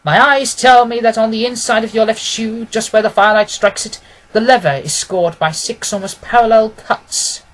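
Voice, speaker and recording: A man voicing a self-assured male detective in an audiobook, keenly observant and gently mocking, with an emphatic, didactic manner. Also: high voice, fairly even intonation, normal speed, pitched above the performer's own voice, poor audio. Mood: brisk, confident. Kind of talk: dramatised audiobook reading.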